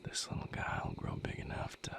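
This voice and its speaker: A man playing a gentle, patient vampire mate in an intimate audio roleplay, coaxing softly in a breathy, gravelly whisper. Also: natural voice. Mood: warm, playful. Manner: soothing, coaxing, unhurried guidance.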